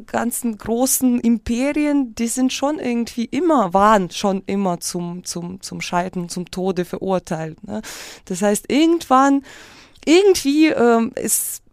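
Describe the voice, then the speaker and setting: A woman's voice: fairly high pitch, darkish tone, no room sound, clean studio sound. A woman from Ukraine, a native speaker of Russian and Ukrainian, talking casually and reflectively as an interview guest, often searching for words and breaking off mid-thought. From Kyiv, Ukraine.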